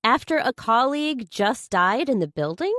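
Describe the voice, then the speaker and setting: A narrator acts out a blunt, sarcastic woman in distress over her sister. She fires challenging, incredulous questions, her pitch lifted, in a dry audiobook recording. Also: very animated, normal speed, fairly bright tone, no room sound. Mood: shocked, pressing.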